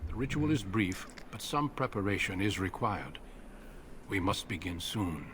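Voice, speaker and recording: Low-voiced, calm, secretive old warrior commander, solemn and measured. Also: male voice, a little hiss or hum. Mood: grave. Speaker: veteran Grey Warden commander. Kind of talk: video game voice acting.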